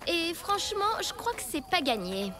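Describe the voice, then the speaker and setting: A woman voicing a sceptical, wry child in a French animated series, with an unimpressed delivery. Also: very high voice, moderate pace.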